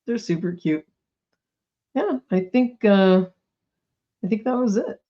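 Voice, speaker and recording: A woman's voice: mid-range voice, takes it slowly, mellow. Woman co-host, chatty and bubbly, a little under the weather, slightly dull lo-fi recording. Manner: chatty, bubbly, lots of likes and ums. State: coming down with a cold. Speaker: toy news podcast co-host. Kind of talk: casual chat.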